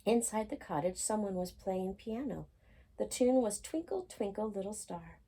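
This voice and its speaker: A woman reading a picture book aloud in an expressive, animated storytime style, acting out the characters. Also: medium pitch, moderate pace, a little hiss or hum. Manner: animated, acts out characters' lines.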